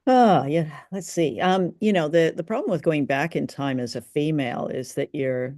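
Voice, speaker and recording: A woman's voice: low voice, moderate pace, mellow, dry recording, studio quality. A woman co-hosting a discussion show, talking casually and thoughtfully with hesitant, good-humoured phrasing. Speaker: co-host of an old-time radio discussion show.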